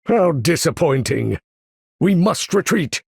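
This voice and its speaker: A man voicing a proud, imperious older mutant leader for a video game, speaking in a formal, theatrical, condescending way with a gravelly, husky voice. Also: fairly high pitch, big pitch swings, slow pace, no echo, clean studio sound, heavily acted. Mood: cold disdain, then urgent, ordering. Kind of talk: video game character voice lines.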